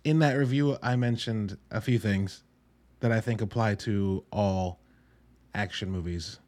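A man's voice: fairly low pitch, a little slow, a little mellow. A man hosting a podcast solo, talking through a movie review in a wry, conversational way with emphatic asides. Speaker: podcast host.

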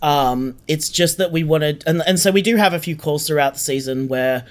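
A man's voice: on the high side, moderate pace. A man explaining things earnestly in a reflective interview, repeating phrases for emphasis. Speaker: podcast guest, audio drama co-creator.

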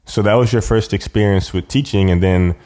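A man's voice: on the low side, fairly quick, darkish tone. A man hosting a podcast, asking questions in a conversational interviewing style.

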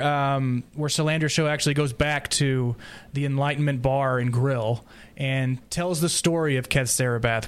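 A man hosting a casual podcast roundtable, speaking in a halting, self-deprecating way. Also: mid-range voice, clean tone. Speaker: podcast host recapping an audiodrama.

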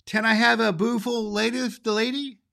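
Man acting a little girl, high-pitched, babyish, stammering, muddled words, emotional, storybook character. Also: little pitch movement, moderate pace, vocal fry, sharp tone, heavily acted. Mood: rapturous. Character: sad little girl, tearful, lisping, easily delighted.

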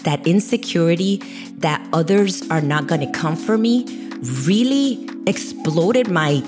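A woman's voice: low-pitched, breathy edge. A woman co-hosting a podcast, speaking in an earnest, reflective, motivational way.

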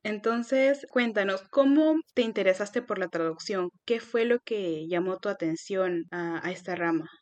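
A woman's voice: mid-range voice, unhurried, few pauses, a little mellow, no room sound. Young woman hosting a student podcast, dry sound. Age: 20s. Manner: polite, read-from-script introductions. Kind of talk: scripted podcast interviewing.

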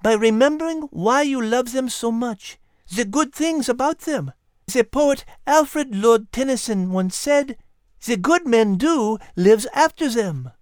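Woman doing a clever french kitty, kinda prim and kind, highish voice, really dry recording. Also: lively intonation, a little slow, no echo. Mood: gentle, consoling. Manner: polite, encouraging. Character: gentle, clever, prim French female cat. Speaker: podcast co-host character. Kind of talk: scripted character banter.

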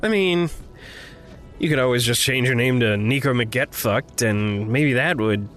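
A man acting in a naturalistic audio drama as a gentle, wry confidant with a halting, playful way of talking. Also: mid-range voice, soft background music, close to an everyday voice.

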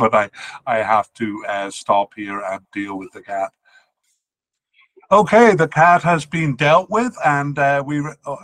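A man's voice: mid-range voice, a bit bright, no echo. Male podcast host from Wales, informal sports analyst, methodical and hesitant delivery, close dry home recording. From Cardiff, Wales. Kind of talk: informal player-by-player squad analysis.

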